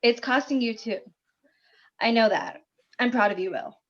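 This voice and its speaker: Woman acting a high-voiced, affectionate wife. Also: moderate pace, close to an everyday voice. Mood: tender. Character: affectionate, supportive wife on a late-night phone call. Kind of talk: screenplay table read. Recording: muffled video-call audio.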